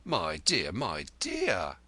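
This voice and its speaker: A man's voice: lowish voice, wildly swooping pitch, unhurried, pushed and bright, no echo, full character voice. A man voicing a meek, timid shopkeeper who placates his wife. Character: meek timid middle-aged shopkeeper husband.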